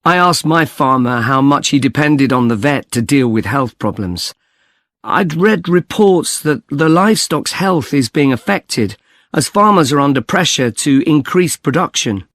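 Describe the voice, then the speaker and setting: A man's voice: on the high side, animated, unhurried, a bit bright, dry recording, studio quality. A man playing an earnest young student in a scripted listening-test dialogue. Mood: earnest, chatty. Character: earnest young male student on farm placement.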